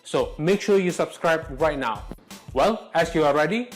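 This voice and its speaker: A man reads a scripted promo with a somewhat raised pitch, repeating his calls to action. Faint music plays beneath his voice. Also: expressive, moderate pace. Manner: urging, repetitive calls to action. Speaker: channel host.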